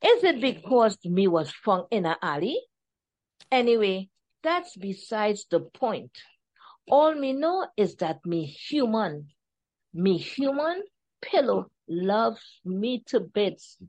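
A woman's voice: medium pitch, very animated, slow, a little mellow. A woman voicing a pampered house cat that speaks with a Jamaican accent and tells its tale in a chatty, self-satisfied way, read from her own storybook. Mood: contented.

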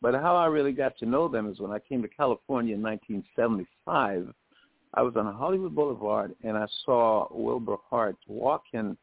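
Older guy in his 70s from jamaica, gravelly radio guest telling stories, echoey phone sound. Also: medium pitch, brisk. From Jamaica, later the United States. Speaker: interview guest, singer. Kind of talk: anecdotal radio interview. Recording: phone-like, thin radio call.

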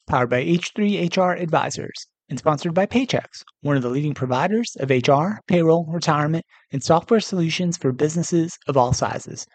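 A man's voice: a little high, a little fast, few pauses, no echo. An American man hosting a podcast, reading a scripted news report. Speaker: podcast host.

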